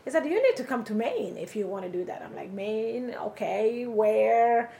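A woman's voice: fairly high pitch, expressive, normal speed, gravelly, mellow. A middle-aged woman from Somalia, interviewed for an oral history, telling her story in a conversational way that livens up when she quotes people.

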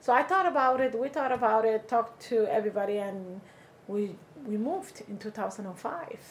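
A woman's voice: on the high side, normal speed, a little hiss or hum, rough recording. A middle-aged woman from Somalia, interviewed for an oral history, telling her story in a conversational way that livens up when she quotes people.

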